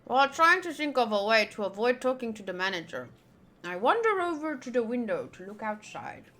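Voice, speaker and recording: A woman's voice: fairly high pitch, very animated, a little fast, pushed and bright. A woman streaming a game, reading its text aloud and chatting casually with playful asides.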